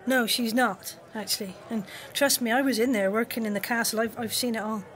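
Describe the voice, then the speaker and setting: A woman's voice: a little high, steady tone, brisk, a bit bright, slight background noise, natural voice. A woman playing a fed-up, outspoken townswoman, indignant and forthright, in an audio drama. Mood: exasperated.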